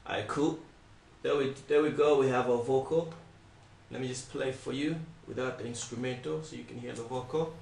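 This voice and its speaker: A young man giving a casual step-by-step software tutorial, speaking informally and repeating his instructions. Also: mid-range voice, steady tone, moderate pace, gravelly, music in the background. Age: young adult. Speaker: tutorial presenter. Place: small indoor room, not soundproof. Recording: echoey untreated room, distant mic.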